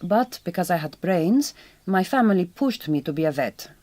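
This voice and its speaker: An actress playing a direct, kind but quietly wounded Hungarian migrant farm worker in a radio drama, with a Hungarian accent and careful, reassuring speech. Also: female voice, on the low side, lively intonation, moderate pace, dry recording, close to an everyday voice. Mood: eager, reassuring.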